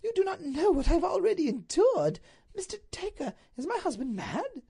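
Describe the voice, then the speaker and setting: A woman voicing an anguished, highly strung duchess in a storybook reading, pleading and breathless in a melodramatic way. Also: big pitch swings, moderate pace, dark tone, full character voice.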